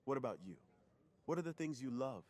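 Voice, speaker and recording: A man playing a secretive, polite undercover superhero who is shyly smitten, speaking earnestly and softly in an intimate ASMR roleplay. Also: medium pitch, animated, fairly quick, close to an everyday voice. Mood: apologetic, friendly.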